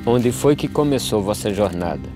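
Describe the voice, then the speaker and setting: A man playing a solemn, weary drought migrant, reciting measured verse in an animated film. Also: lowish voice, lightly acted. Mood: solemn, questioning. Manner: measured, solemn, rhythmic delivery with falling refrains. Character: solemn male drought migrant, questioning, weary, plain-spoken. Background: music.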